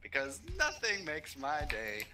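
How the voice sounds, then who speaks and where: A man's voice: fairly high pitch, wildly swooping pitch, unhurried, music in the background. A man in casual gaming banter, clowning around in a cheeky, boastful and crude way. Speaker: gaming co-op guest. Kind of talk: casual banter while playing.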